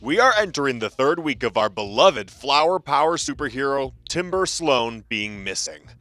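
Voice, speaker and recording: A man's voice: medium pitch, very animated, moderate pace, pushed and bright, soft background music. A man playing a dramatic TV news anchor, delivering a bulletin in a superhero audio drama. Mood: grave, dramatic. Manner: dramatic, rhetorical announcing.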